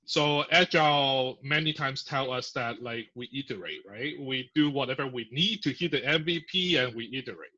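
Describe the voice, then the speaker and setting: Bright-voiced man explaining over muffled audio. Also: medium pitch, moderate pace, hardly any pauses. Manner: chatty, filler-heavy explaining with laughs. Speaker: podcast guest, software engineer. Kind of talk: casual interview.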